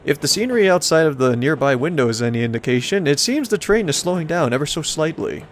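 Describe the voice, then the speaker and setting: A man's voice: on the high side, big pitch swings, quick pace, a little hiss or hum. A man hosting a let's play, casually commenting and reading aloud in a playful, rambling way as he reacts to the game.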